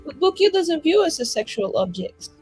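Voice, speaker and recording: A woman's voice: high voice, very animated, normal speed, darkish tone, faint music behind. A woman roleplaying a blunt, guileless, self-assured young woman adventurer in a tabletop fantasy game.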